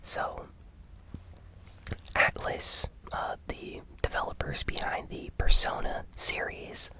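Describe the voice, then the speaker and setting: Slow, whispering ASMR gaming news host. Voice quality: breathy unvoiced whisper. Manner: hushed, unhurried, informal explaining.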